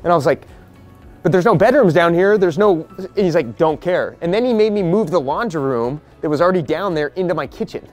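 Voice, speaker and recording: A man's voice: on the high side, animated, darkish tone, soft background music. A man, walking a group through a house he is flipping outdoors on a porch, talking bluntly and practically. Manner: blunt, practical, quoting others in quick asides. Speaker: real estate investor leading a property walkthrough. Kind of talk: casual explaining to a group on site.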